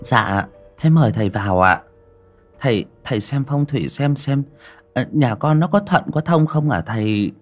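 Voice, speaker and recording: A man's voice: mid-range voice, animated, moderate pace, soft background music, voice pushed up, close to an everyday voice. A man voicing a talkative, devout older woman who fawns over a guest. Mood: fawning. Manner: fawning, talkative. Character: chatty devout older woman, eager hostess.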